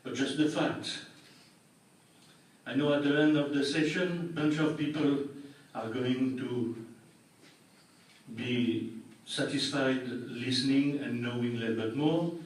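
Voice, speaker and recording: A man's voice: medium pitch, unhurried. An older man with a French accent, a public health doctor presenting at a community meeting with dry jokes and plain explanations. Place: large public meeting hall. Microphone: room recording from far off. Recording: echoey hall taped from far off.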